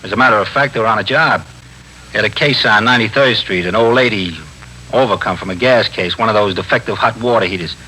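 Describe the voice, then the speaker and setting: Fast-talking, dutiful police sergeant. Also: male voice, on the low side, sharp tone. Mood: dutiful, brisk. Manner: clipped, matter-of-fact, deferential. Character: dutiful police desk sergeant, brisk and deferential. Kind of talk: 1950s radio drama acting. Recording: faintly noisy 1950s radio broadcast.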